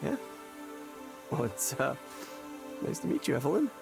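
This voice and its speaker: An actor playing a desperate, anxious man in a visual novel, with a halting, pleading manner. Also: mid-range voice, huge pitch swings, gravelly, over music, full character voice. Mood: stunned, subdued. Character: desperate, anxious male client in counseling. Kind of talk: naturalistic visual novel voice acting.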